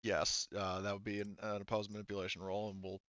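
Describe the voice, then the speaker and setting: A man with a smooth, clear voice runs a tabletop roleplaying session. He talks informally, exclaiming as he narrates action and rules. The sound is dry and a little muffled. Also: lowish voice, completely flat delivery, quick pace, fairly bright tone, no room sound. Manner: informal, exclamatory, swears freely. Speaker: game master. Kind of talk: casual tabletop game narration and rules adjudication.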